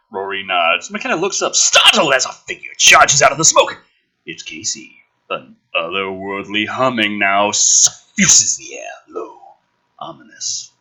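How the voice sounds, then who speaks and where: Theatrical, highly animated man with a raspy edge. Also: takes it slowly, poor audio. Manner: theatrical, sarcastic, sweary, swings between hushed narration and loud ranting. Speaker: host of a gaming and commentary channel. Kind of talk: dramatic script reading with exasperated, profane mocking commentary.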